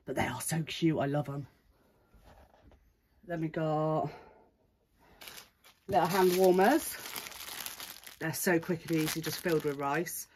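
A woman's voice: deep voice, takes it slowly, pauses often, a little mellow, slight background noise. A woman with a stuffy, bunged-up voice from a cold, chattily showing off her handmade crafts and listing the price of each. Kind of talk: casual show-and-tell vlog. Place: indoors at a craft table.

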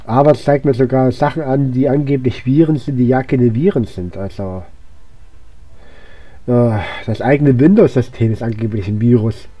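A hoarse man with a cold giving casual, rambling gameplay commentary, with lots of fillers and restarts. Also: mid-range voice, normal speed, mellow, dry recording. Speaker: let's play gamer.

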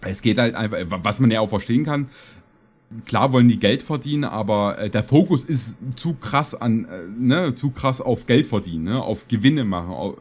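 A man talks casually to his stream audience. His voice sits at an average pitch. He rambles nostalgically, speaking into a close mic in a small home room. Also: animated, normal speed. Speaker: reaction streamer. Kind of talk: casual chat to viewers.

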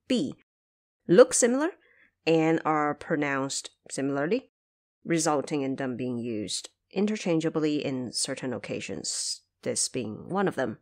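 Animated, low-pitched woman narrator. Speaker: host of production notes for an audio drama series. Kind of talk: scripted explanatory narration.

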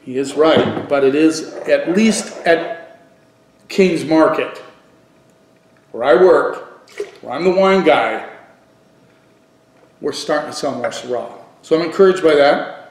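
A middle-aged man giving a casual wine review on video, thinking aloud haltingly and repeating words for emphasis. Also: a little high. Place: living room at home, indoors. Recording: echoey room.

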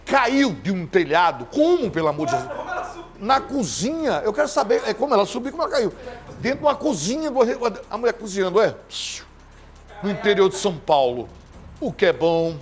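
An older man hosting a TV news show, presenting in a loud, theatrical way, laughing and shouting to the camera in a studio. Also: high voice, very animated, brisk, noisy background.

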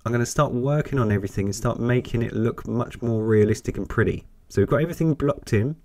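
A croaky, deep-voiced man talks through a painting lesson while he works, hoarse from a cold. He sounds chatty and encouraging. His voice sits at a middle pitch for a man, recorded dry and close. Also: quick pace, mellow, no room sound. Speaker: painting teacher. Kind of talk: step-by-step painting tutorial.

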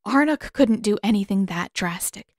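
A woman's voice: medium pitch, expressive, moderate pace, darkish tone, no echo, clean studio sound, close to an everyday voice. A woman voicing an earnest, anxious teenage girl who pleads with urgent emotion in a fantasy story. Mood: desperate denial.

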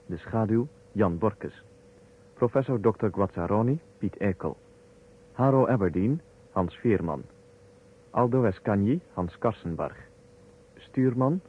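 Old time radio announcer guy, formal, lowish voice, muffled recording. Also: slightly rough. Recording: muffled, narrow-band vintage radio recording.

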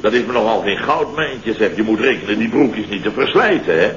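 A man's voice: fairly low pitch, animated, brisk, a bit bright, dull audio. Blustering middle-aged male boss for radio comedy; repetitive, pompous rambler, echoey and noisy vintage sound. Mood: rambling, grumbling. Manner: rambling, stammering, self-important, repeats himself. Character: blustering, boastful fifty-year-old male boss. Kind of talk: 1970s Dutch comedy radio play acting.

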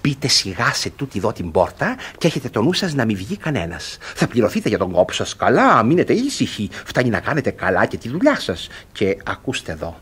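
An actor playing an agitated, self-satisfied, mocking brother in a classic farce, in a theatrical radio style with sarcastic, emphatic exclamations. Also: male voice, lowish voice, wildly swooping pitch, few pauses, fairly bright tone, breathy edge, heavily acted. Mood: bossy, sly. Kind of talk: theatrical Greek radio comedy acting.

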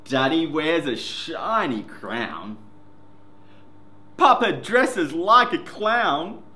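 A man hosts a children's story time with a bright, clear-cut delivery and very lively, sing-song pitch. He takes his time over the simple words for small listeners. The room adds an echo, with faint noise behind him. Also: extremely slow. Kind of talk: picture book read-aloud for young children. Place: indoor classroom reading corner.

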